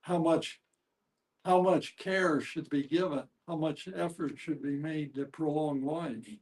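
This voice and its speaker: A man with a fairly high-pitched voice joins a casual discussion. He speaks hesitantly, halting as he shapes his thought. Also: fairly even intonation, darkish tone, rough recording. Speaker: discussion participant.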